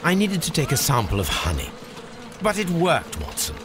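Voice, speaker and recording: An actor playing a brilliant, eccentric Victorian English detective, formal, precise and dryly teasing, in a video game. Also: male voice, on the high side, huge pitch swings, moderate pace, over music. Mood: cheerfully eccentric.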